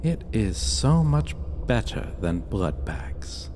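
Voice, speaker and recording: A cocky vampire captor, acted by a man, speaks in a low voice with very lively swings of pitch. He is possessive and menacing, taunting and commanding in a slow dark fantasy ASMR roleplay. Clear ambient noise runs behind him. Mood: teasing, coaxing.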